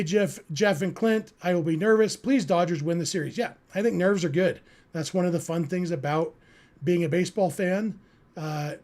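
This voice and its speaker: American guy doing casual live sports podcast, pretty high voice, earnest and conversational. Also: a little mellow. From California, United States. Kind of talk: casual live sports talk, answering listener chat.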